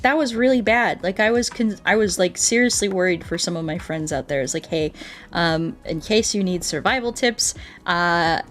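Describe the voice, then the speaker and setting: A young American woman chatting casually on a livestream, rambling along and acting out bits of what people said. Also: mid-range voice. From United States. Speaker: streamer. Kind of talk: casual livestream chat while gaming. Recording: music playing behind the voice. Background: background music.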